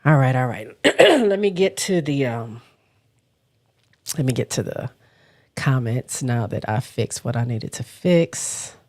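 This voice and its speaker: Raspy, stuffy woman hosting a live chat. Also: rumbling low, very animated, darkish tone. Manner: halting, often stops to clear her throat. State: hoarse and stuffy from a cold. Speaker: livestream host. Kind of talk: casual livestream chat, reading viewer comments.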